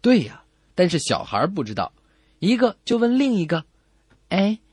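Female comedy radio co-host, expressive storyteller with swooping intonation, close dry sound. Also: on the low side, moderate pace, slightly rough, dry recording. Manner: expressive storytelling, acts out characters. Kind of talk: comedy radio joke-telling.

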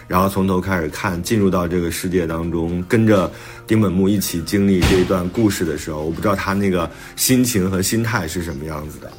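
A man's voice: sits low, a little fast, faint music behind. A man talking casually and reflectively on a podcast as host and author, with some playful teasing. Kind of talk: casual chat about own new book.

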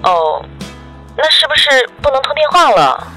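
A woman's voice: sits high, sing-song, normal speed, sharp tone, exaggerated voice. An actress in a radio drama playing a doting, clingy young girlfriend who is coy, tender and pouty. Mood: tender, wistful. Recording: music behind the voice. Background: background music.

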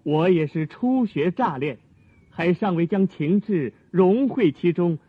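A modest young gentleman scholar with a high, husky voice and deliberate delivery. He is courteous and troubled, in a period radio drama with narrow phone-like sound. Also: expressive, full character voice. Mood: polite, modest.

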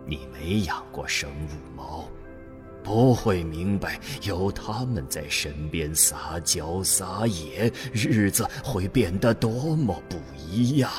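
A man playing a gentle, sentimental elderly grandfather who dotes on his cat in a radio drama. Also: low voice, animated, takes it slowly. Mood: fond, wistful. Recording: long reverb, music behind.

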